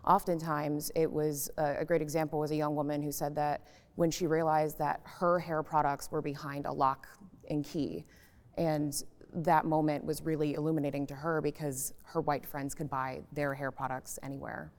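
A woman's voice: sits low, flat delivery, moderate pace. A woman speaking casually as a public radio journalist on a discussion panel. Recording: long echoing reverb.

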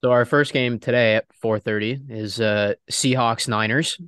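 Ill young man with a smooth, lowish voice. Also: fairly even intonation, normal speed, fairly bright tone, no echo, studio quality. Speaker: podcast co-host. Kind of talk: casual sports chat.

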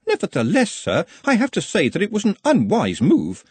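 Man as fussy sarcastic academic, high-pitched. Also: huge pitch swings, normal speed, husky, no room sound, exaggerated voice. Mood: incredulous, disapproving. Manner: disapproving, formal, pedantic. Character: fussy, sarcastic male academic wizard, born pedagogue.

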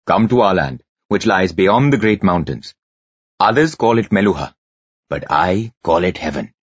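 A man's voice: low voice, expressive, normal speed, husky, dry recording, dull audio. A man voicing a courteous foreign envoy who speaks formally and persuasively in a fantasy audiobook. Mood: grandly inviting. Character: courteous foreign male envoy promising a paradise.

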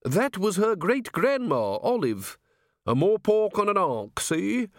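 Cackly old country geezer, high voice, swoopy, grumbly and folksy, storybook. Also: male voice, big pitch swings, slow pace, dry recording, clean studio sound, heavily acted. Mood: chatty. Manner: folksy, rambling, archaic dialect. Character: cackling old rustic male yard keeper, chatty, grumbling.

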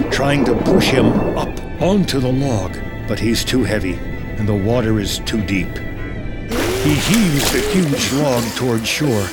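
A man narrating a screenplay in the present tense with measured, dramatic storytelling. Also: medium pitch.

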